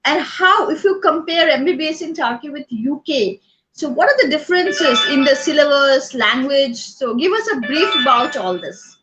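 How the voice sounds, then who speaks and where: A woman's voice: sits high, lively intonation, moderate pace, music in the background, low-quality recording. A woman hosting an interview, asking long rambling questions with fillers. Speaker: interview host.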